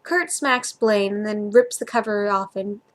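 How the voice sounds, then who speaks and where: A woman's voice: mid-range voice, measured pace. A woman reading fan fiction aloud in an informal, amateur way, sometimes stumbling over the words. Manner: informal, stumbles and comments on own slips. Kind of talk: story reading with character dialogue.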